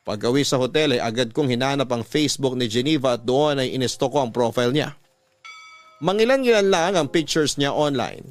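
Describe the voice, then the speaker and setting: A man with a Filipino accent reading a first-person love story in a classic radio storytelling style. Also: mid-range voice. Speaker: narrator reading a love letter.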